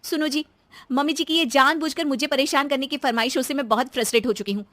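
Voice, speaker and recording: A woman's voice: sits high, steady tone, quick pace, a bit bright, dry recording, voice pushed up. A woman voicing a harried, emphatic young daughter-in-law in a cartoon story. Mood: frustrated. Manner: complaining, emphatic.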